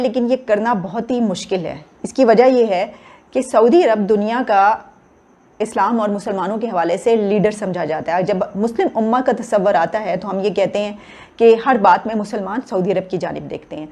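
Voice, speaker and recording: A woman's voice: mid-range voice, moderate pace, darkish tone. A woman with a Pakistani accent comments on current affairs without a script, explaining her points step by step. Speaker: talk show commentator. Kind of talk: unscripted news discussion.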